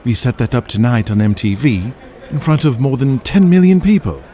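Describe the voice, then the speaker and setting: A man's voice: mid-range voice, big pitch swings, moderate pace, warm tone, pitched above the performer's own voice, lightly acted. A man voicing a brash, pushy male wrestling promoter in an audio drama, urgent and emphatic. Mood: pleading.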